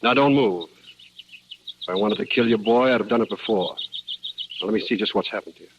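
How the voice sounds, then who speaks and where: An actor playing a plainspoken former soldier turned prospector, telling his story in measured, matter-of-fact radio drama style. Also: lowish voice, background noise, close to an everyday voice. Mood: calm, firm. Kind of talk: 1950s radio drama narration. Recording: dull old radio recording, loud music behind.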